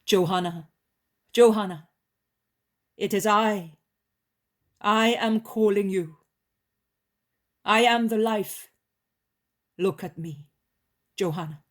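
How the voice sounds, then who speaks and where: A woman reading the lines of a calm, commanding male holy healer in a solemn storybook voice. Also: lowish voice, takes it slowly, pauses often.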